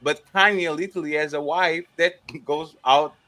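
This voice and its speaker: Halting, high-voiced man hosting a panel. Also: steady tone, pushed and bright. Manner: halting, repeats words, questions hard. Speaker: panel host. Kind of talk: casual panel discussion.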